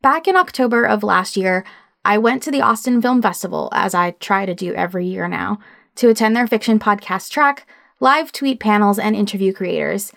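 A woman's voice: mid-range voice, moderate pace, creaky, fairly bright tone. A woman hosting a podcast, introducing and conducting an interview.

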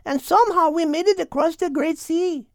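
A man's voice: very high voice, normal speed, no echo, clean studio sound, voice pushed up. A man voicing a tiny, earnest female hummingbird. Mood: earnest, insistent.

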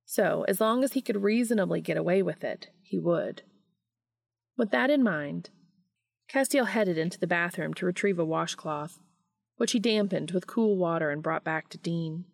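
Creaky-voiced woman narrating, close and dry. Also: on the low side, moderate pace, no echo. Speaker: audiobook reader of fan fiction. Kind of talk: scripted story narration.

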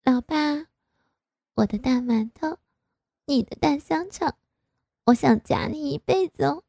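A woman's voice: high-pitched, measured pace, gravelly, mellow, no room sound, pitched above the performer's own voice. A woman doing a pouty, wheedling young wife. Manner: pouting, wheedling.

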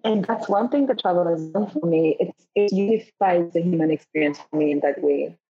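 A woman from Ghana, a guest in a podcast interview, telling travel stories in an animated way, acting out the voices she quotes and often restarting her sentences. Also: on the low side, fairly quick, breathy edge. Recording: dull lo-fi audio.

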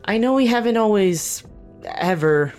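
An actress playing a middle-aged government official in an audio drama, speaking candidly with wry, hesitant phrasing. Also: female voice, mid-range voice, lively intonation, a little slow, darkish tone. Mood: earnest, candid. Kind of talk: naturalistic audio drama dialogue. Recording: music underneath. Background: background music.

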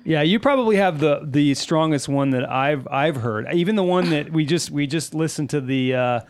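A man's voice: a little high, normal speed. A man from California with an American accent, hosting a casual podcast chat in a jokey, foul-mouthed way. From California, United States. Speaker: podcast host.

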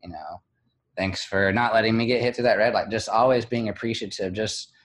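A man speaking as an interview guest, candid and reflective, pausing often with fillers and restarts. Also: fairly low pitch. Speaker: guest, blogger.

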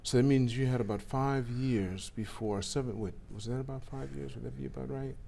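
A man's voice: medium pitch, steady tone, brisk, gravelly, crowd noise. A man hosting a podcast, asking eager, chatty follow-up questions. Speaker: podcast host. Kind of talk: interview conversation.

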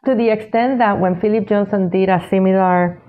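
A woman in her sixties from Puerto Rico, an architect answering interview questions in an unhurried way, with many "you know" asides. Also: medium pitch, fairly even intonation. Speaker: architect and executive director, guest. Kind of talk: interview answers, reflective storytelling. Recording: tinny phone-like line.

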